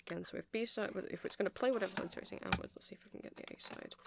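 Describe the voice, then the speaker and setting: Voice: mid-range voice, racing, vocal fry, background noise. A performer in an ASMR roleplay playing a nerdy, awkward, friendly male vampire music-shop seller who rambles hesitantly and apologetically to the listener. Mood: rambling, helpful.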